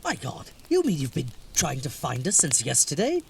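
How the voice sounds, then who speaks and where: An actor playing a concerned, fatherly gentleman hunter with a genteel, questioning manner in an audio horror drama. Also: fairly high pitch, wildly swooping pitch, normal speed, gravelly, slight background noise, full character voice. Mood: urgent questioning.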